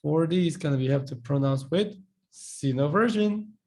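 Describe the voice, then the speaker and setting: A man who speaks English, teaching in a live online class. He explains patiently, repeats words slowly and acts out short dialogues. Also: a little high, darkish tone. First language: Korean. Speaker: Korean language teacher.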